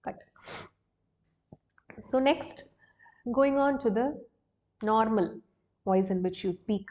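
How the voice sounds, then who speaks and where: Slow-paced Indian woman teacher, dull recording. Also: a little high, dark tone. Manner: explanatory, step-by-step. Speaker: singing teacher and host. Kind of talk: instructional lesson with sung demonstrations.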